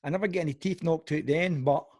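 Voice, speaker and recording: A man with a Glasgow accent hosting an amateur podcast, chatty and friendly, dropping in quick "yep"s as his guest talks. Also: on the high side, warm tone, no echo, studio quality. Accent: Glaswegian. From Scotland. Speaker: podcast host. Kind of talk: casual interview.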